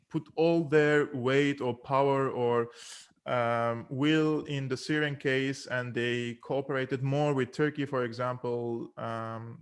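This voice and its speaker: A man's voice: medium pitch, smooth. A man hosting an interview, asking long, winding questions in a friendly, casual way with many fillers. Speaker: host, interviewer.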